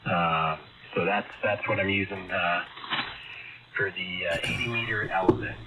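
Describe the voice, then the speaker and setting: A man chatting casually and haltingly as an amateur radio operator over a shortwave link. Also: low-pitched, steady tone. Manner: hesitant, halting. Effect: heard over shortwave ham radio. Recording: muffled shortwave radio link, loud static.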